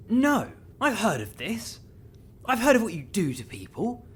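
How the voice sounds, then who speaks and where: A man's voice: high-pitched, wildly swooping pitch, a little slow, a little hiss or hum, full character voice. An actor playing a defiant, frightened man in an audio drama, blunt and agitated.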